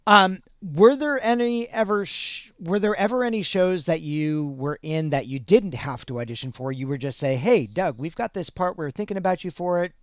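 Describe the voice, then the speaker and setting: Male interview host, slightly high and resonant, dry recording, easy conversational questions. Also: normal speed, hardly any pauses, dry recording. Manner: brief affirming backchannels, friendly questions. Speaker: stream host and voice actor. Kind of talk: casual interview chat.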